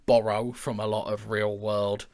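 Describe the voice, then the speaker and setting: A man's voice: on the low side, little pitch movement, a little slow, darkish tone. A man chatting casually and enthusiastically as an audio drama creator, with a jokey manner. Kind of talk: casual chat.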